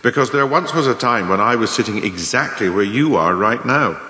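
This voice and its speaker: A cynical departing boss, echoey hall. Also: male voice, mid-range voice, animated, bright, forceful tone. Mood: measured, reflective. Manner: rhetorical, deliberate, sardonic. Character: departing male company manager, cynical, giving retirement speech. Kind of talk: radio play monologue, after-dinner speech. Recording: echoey hall with long reverb.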